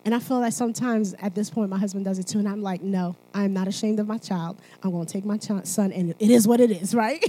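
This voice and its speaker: A woman's voice: mid-range voice, fast, few pauses, dark tone, low-quality recording. A woman from Haiti speaking at church, mixing sermon and personal testimony in a conversational, emphatic manner, often checking in with listeners.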